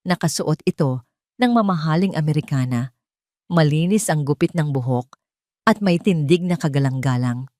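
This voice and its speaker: A woman's voice: low voice, animated, moderate pace, mellow, no room sound, studio quality. A woman reading a scripted emotional story as a dramatic narrator.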